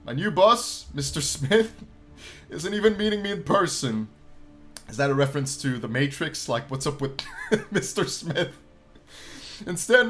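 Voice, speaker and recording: A man in his twenties with a fairly high voice hosts a game playthrough. His intonation swings widely as he reads story text theatrically and drops in casual asides. Light music plays behind him. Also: a bit bright. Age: 20s. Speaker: gaming channel host.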